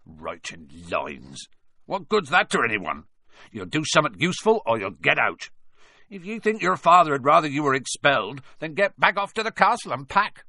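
A man reading an audiobook aloud, voicing a huge, gruff but kindly male gamekeeper with a rustic dialect. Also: medium pitch, sing-song, moderate pace, pushed and bright, dry recording, heavily acted. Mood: fierce.

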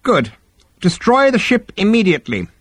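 A smug alien psychiatrist from a comic science fiction storybook. His voice sits very high and bounces in a bright, unctuous singsong. He sounds cheery, slippery and professionally pleased with himself. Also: wildly swooping pitch, moderate pace, husky, dry recording, studio quality, exaggerated voice. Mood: bright, brisk.

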